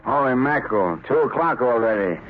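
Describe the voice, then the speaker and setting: Gruff, blustering 1930s radio tough, acted by a man. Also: medium pitch, lively intonation, fairly quick, loud music behind the voice, natural voice. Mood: suspicious, defensive. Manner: gruff, defensive, slangy. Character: suspicious, blustering shipyard tough, male, secretly a traitor. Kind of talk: 1930s radio drama acting. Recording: scratchy narrow-band 1938 radio recording.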